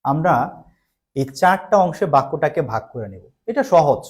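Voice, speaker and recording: Male grammar tutor, Bangladeshi accent, somewhat high-pitched, explains in repeated small steps and often checks understanding. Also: lively intonation, normal speed, breathy edge. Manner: repetitive step-by-step explaining with frequent check questions; mixes in English terms. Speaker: English grammar teacher. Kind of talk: live online grammar lesson.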